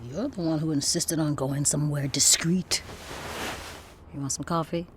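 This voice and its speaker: A woman's voice: low voice, steady tone, normal speed, a little hiss or hum, close to an everyday voice. A woman with an American accent playing a worried, confrontational lover in an audio drama, speaking in an emphatic, plain-spoken way. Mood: casual, teasing. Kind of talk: naturalistic audio drama acting.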